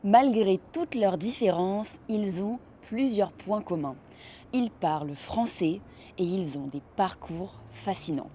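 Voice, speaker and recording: A woman's voice: mid-range voice, slow pace, warm tone, slight background noise. A woman hosting and introducing a video episode. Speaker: host. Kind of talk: scripted intro.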